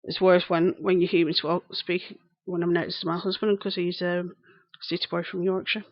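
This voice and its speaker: A woman's voice: lowish voice, level tone, normal speed, no room sound. Lancashire lady in her 30s rambling on a livestream, says stuff twice, dry dull mic sound. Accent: broad Lancashire. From England. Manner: chatty and rambling, repeats phrases, greets viewers by name. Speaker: live streamer. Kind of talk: casual live-stream chat, answering viewers.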